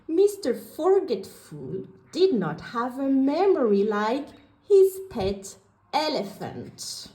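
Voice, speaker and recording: Slow, lively high-voiced woman reading a storybook. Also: roomy sound, poor audio. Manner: expressive, deliberate storytelling for young children. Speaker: storybook reader. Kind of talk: scripted narration of a children's picture book.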